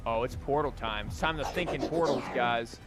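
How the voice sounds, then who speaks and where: A man's voice: medium pitch, normal speed. Male streamer, casual chat, wry jokes, live gameplay commentary, game music behind. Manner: wry, joking. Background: video game music.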